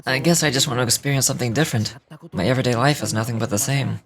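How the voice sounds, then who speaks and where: A man's voice: medium pitch, racing, natural voice. A man acts a middle-aged working father in a game. His mid-range voice is plain and earnest, with a weary, hesitant edge. Faint music sits behind the dialogue. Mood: glum, hesitant. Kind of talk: scripted game character dialogue.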